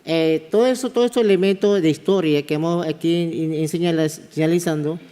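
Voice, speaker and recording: A man from Panama with a Kuna accent, an anthropology professor giving a halting conference talk that often repeats words. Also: a little high, steady tone, warm tone, clean tone. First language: Kuna (Guna). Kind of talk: conference presentation. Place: large conference hall. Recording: reverberant conference hall.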